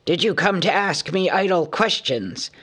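A man's voice: high voice, animated, a bit bright, pitched above the performer's own voice, full character voice. A man reading an audiobook, voicing a proud, stubborn older dwarf king with a grave, wrathful manner. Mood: stern. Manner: grave and measured, growing furious.

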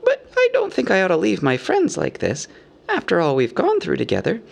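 A man voicing a small, fussy, anxious male hobbit from a storybook, squeaky, polite and rambling in a businesslike way. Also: huge pitch swings, brisk, creaky, exaggerated voice. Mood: polite, hurried. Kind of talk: storybook character voice.

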